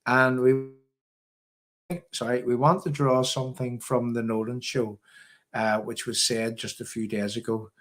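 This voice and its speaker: Northern irish guy doing live politics commentary, normal pitch, kinda rambly, repeats himself, leans on words. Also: level tone, unhurried, a bit bright. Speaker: live-stream political show host.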